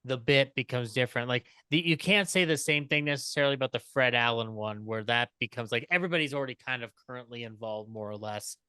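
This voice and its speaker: A man's voice: mid-range voice, fast talker, few pauses, sharp tone, clear, resonant tone, dry recording. A man talking informally as a panelist in a casual discussion, often dropping in "like". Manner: informal, peppered with "like".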